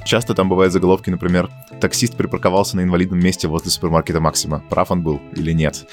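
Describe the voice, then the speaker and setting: Deep-voiced fast-talking man telling stories. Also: little pitch movement, soft background music. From Russia. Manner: long anecdotal storytelling with frequent fillers. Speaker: podcast host. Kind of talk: casual conversational podcast chat.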